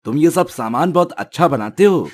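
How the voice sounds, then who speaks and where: A man's voice: a little high, expressive, moderate pace. A man playing an admiring customer at a village snack cart in a storybook tale. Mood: delighted praise. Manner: appreciative. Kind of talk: storybook character dialogue.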